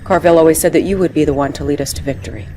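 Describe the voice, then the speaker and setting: An actress playing an earnest, loyal intelligence officer, giving composed, clipped briefings in a video game. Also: female voice, low-pitched, rapid-fire, mellow, slight background noise, close to an everyday voice. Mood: sympathetic.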